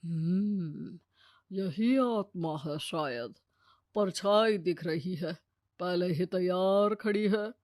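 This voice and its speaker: A woman voicing an officious male messenger of death in a cartoon story, with a stern, deadpan, scolding manner. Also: on the low side, slow pace, no echo, voice pushed down. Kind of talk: Hindi cartoon storytelling dub.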